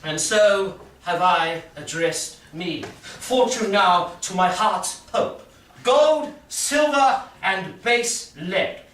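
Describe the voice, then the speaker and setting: An actor playing a pompous, self-satisfied prince in a Shakespearean staged reading, declaiming with deliberate dramatic pauses. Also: male voice, a little high, a bit bright, exaggerated voice. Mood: solemn. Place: live staged reading in a reverberant room. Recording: echoey room from far off, faint noise.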